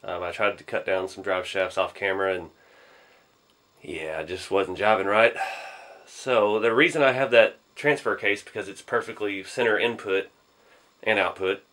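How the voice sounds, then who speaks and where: A man with a low voice and a drawl talks through his hobby build in a home garage. He sounds a little hoarse from a cold. He rambles in a laid-back way, often trailing off with ums and shrugging uncertainty. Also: fairly even intonation, moderate pace, fairly bright tone. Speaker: RC hobby channel host. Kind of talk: casual build walkthrough.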